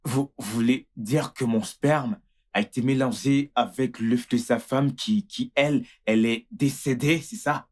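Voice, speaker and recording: A man's voice: mid-range voice, a little slow, close to an everyday voice. Man acting a bewildered, indignant husband. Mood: bewildered, troubled. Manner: stammering, repeats himself when upset.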